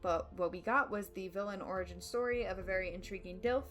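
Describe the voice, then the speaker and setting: A woman's voice: medium pitch, fast talker, a bit bright. A woman delivering an opinionated film video essay, emphatic and dramatic, with casual slang slipping in. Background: background music.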